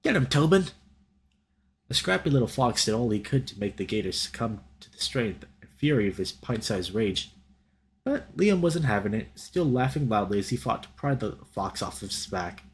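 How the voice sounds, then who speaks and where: A man reading a visual novel aloud as a let's play host, in an expressive, dramatic storytelling style with casual reactions. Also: fairly low pitch, normal speed, a little hiss or hum. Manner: animated, dramatic reader.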